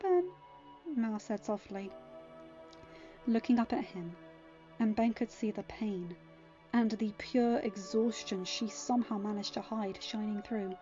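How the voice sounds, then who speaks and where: A woman reading scripted fiction as an expressive storyteller. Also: medium pitch, measured pace. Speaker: fan fiction narrator. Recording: dull lo-fi audio. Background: faint music.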